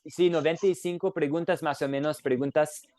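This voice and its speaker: A man teaching an online Bible class who closes with a prayer, speaking gently, with many fillers and restarts. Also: a little high, fairly even intonation, moderate pace, dry recording. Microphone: video call.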